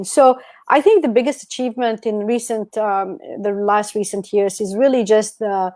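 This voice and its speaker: A woman's voice: mid-range voice, warm tone. A woman from Algeria, a business coach, answering interview questions in a reflective way with some restarts.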